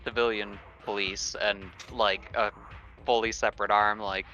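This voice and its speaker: A man's voice: lowish voice, flat delivery, a little slow, pushed and bright. A man playing a tabletop roleplaying game, talking casually on a slightly dull, lo-fi recording.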